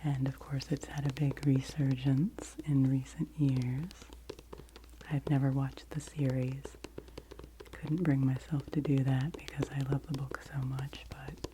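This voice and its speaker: A woman in her forties, whispering gently and unhurriedly as she hosts a soft-spoken ASMR book review. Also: a bass voice, flat delivery, measured pace, background noise. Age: 40s. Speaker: ASMR host.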